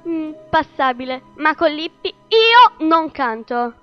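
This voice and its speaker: A woman's voice: high voice, sing-song, takes it slowly, faint music behind, heavily acted. A woman playing a vain, temperamental and imperious opera diva in a radio drama, with a haughty, theatrical manner. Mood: indignant. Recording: dull, lo-fi radio drama sound.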